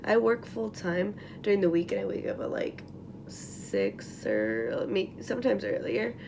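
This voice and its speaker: A young woman with a raspy morning voice chatting casually through a makeup tutorial at home, full of likes and ums and trailing off into whispers. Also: medium pitch, very animated, a little slow, background noise. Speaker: beauty vlogger. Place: at home, getting ready.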